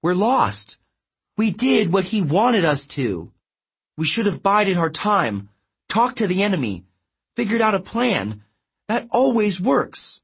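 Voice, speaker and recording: Guy doing a flustered girl hero from a fantasy book, high pitch, urgent, kinda husky. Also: sing-song, measured pace, fairly bright tone, full character voice. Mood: upset. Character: anxious, stammering young heroine who clings to plans.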